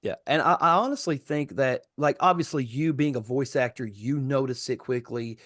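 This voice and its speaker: A man's voice: medium pitch, moderate pace, a bit bright, no room sound. Male podcast host, dry close sound. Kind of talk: casual podcast interview.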